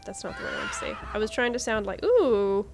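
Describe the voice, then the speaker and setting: A woman giving casual, expressive playthrough commentary, thinking aloud. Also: a little high, quick pace. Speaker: game streamer. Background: game music.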